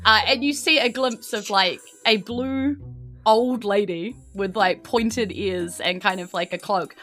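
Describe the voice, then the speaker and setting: A woman with a New Zealand accent, narrating a tabletop game casually as game master, piecing it together in loose, halting phrases. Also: medium pitch, a bit bright, faint music behind. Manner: hesitant phrasing peppered with "like".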